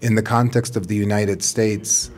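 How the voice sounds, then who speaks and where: Deep-voiced man from Palestine. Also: level tone, a little mellow. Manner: earnest, measured, explanatory. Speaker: guest, educator and organizer. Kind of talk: conversational interview.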